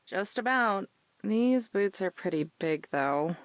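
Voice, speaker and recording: A woman's voice: mid-range voice, animated, slow pace, no room sound. An actress playing a wry, downhearted young woman in a visual novel, with a dry, understated delivery. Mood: dry, subdued. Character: young woman, wry, teasing but downhearted road-tripper. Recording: game audio with music behind.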